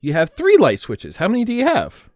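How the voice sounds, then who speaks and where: A man with a slightly high, very expressive voice hosts a casual livestream. He explains things in a loose, rambling way, and the sound is close and dry. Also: fairly quick, no room sound. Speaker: livestream host. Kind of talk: casual livestream chat with his mother.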